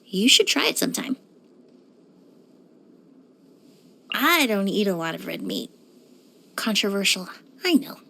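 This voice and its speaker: A woman playing a courteous, composed young woman in a video game, speaking politely and earnestly with careful, measured wording. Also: mid-range voice, very animated, pushed and bright, full character voice. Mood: relaxed, pleasant. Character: poised, courteous young woman perfumer and forensic cleaner. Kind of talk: scripted video game character voice lines.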